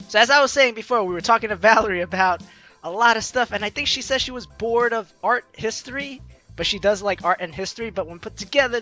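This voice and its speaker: A young man hosting a let's play, casually commenting and reading game dialogue aloud in a playful way. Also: high-pitched. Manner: animated, playful. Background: game music.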